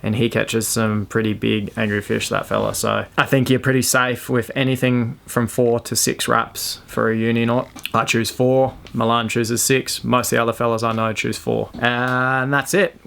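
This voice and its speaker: Aussie bloke w a creaky gravelly voice, chill and chatty, showing how to tie a knot. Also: male voice, mid-range voice, flat delivery, moderate pace, few pauses, fairly bright tone. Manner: laid-back, chatty, slangy. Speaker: fishing channel host. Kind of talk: casual how-to demonstration.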